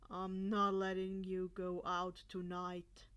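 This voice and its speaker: A woman voicing a patient adult male hero and teacher, careful, calm and reassuring in an audio story. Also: on the low side, slow pace, clean tone, pitched below the performer's own voice. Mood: firm concern.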